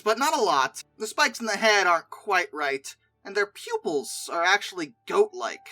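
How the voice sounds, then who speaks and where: Super animated youtube host, bright voice, chatty n opinionated, exasperated asides, music behind. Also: medium pitch. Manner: expressive, exasperated asides and mock disbelief; informal, chatty. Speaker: video host and narrator. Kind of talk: casual opinionated commentary on a ranking video.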